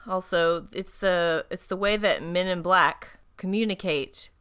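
A woman's voice: on the low side, unhurried, fairly bright tone, clear, resonant tone. A woman co-hosting a podcast in casual chat, playful and joking, laughing often, sounding a little tired. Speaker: podcast co-host.

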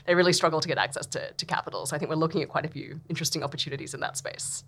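A woman's voice: deep voice, rapid-fire, fairly bright tone, slightly rough. A woman in her thirties with an Australian accent, answering interview questions in a chatty way with many fillers and frequent laughs. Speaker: venture capital investor.

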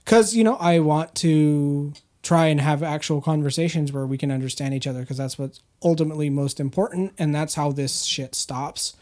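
A man's voice: a little high, moderate pace, few pauses, a little mellow. A young man in casual podcast chat, rambling with lots of fillers and swearing, sitting back from the microphone. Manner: rambling, profane, filler-heavy. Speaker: podcast co-host. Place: indoor studio, leaning away from mic.

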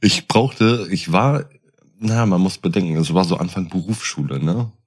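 Very low-voiced, husky man telling stories. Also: moderate pace. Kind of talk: casual anecdote telling.

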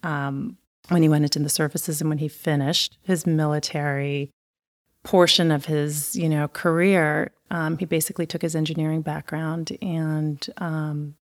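A middle-aged woman from Taiwan and Long Island telling her family story as an interview guest, chatty and laughing. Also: sits low. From born in Taiwan, raised on Long Island.

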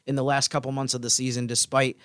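A man's voice: medium pitch, level tone, fast, a bit bright, no room sound. Man co-hosting sports talk, close dry sound. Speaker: sports podcast co-host.

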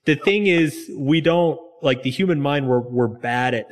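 A man's voice: on the high side, measured pace. A man being interviewed as a guest author, talking in a loose, reflective way with many fillers. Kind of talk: candid conversational interview.

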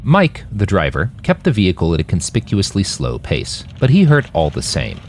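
A man's voice: low-pitched, huge pitch swings, moderate pace, a little mellow, a little hiss or hum. A man narrating scripted fiction as an audiobook-style story. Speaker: author and narrator.

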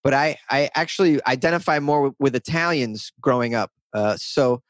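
A man's voice: mid-range voice, moderate pace, no echo. Chatty self-deprecating man, dry sound. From north of Boston, USA. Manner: rambling, self-deprecating, laughs often. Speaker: guest. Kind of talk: casual interview chat.

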